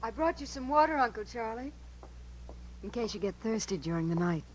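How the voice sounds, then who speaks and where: An actress playing an eager, inquisitive young niece in a 1950s radio drama, earnest and insistent. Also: female voice, on the high side, expressive, a little fast, low-quality recording. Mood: coaxing. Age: young adult. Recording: vintage 1950s radio broadcast.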